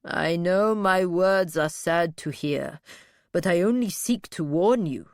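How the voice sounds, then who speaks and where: A woman narrator voicing a slow-witted, grim male captive who speaks bluntly and laboriously. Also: lowish voice, dry recording, studio quality, pitched below the performer's own voice.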